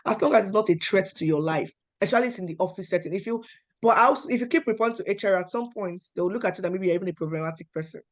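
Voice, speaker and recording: A young adult woman from Nigeria with a Nigerian accent, telling personal stories at a talk in an animated way and acting out the dialogue. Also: medium pitch, very fast. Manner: animated, acts out quoted dialogue with emphatic repetition. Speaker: panel speaker.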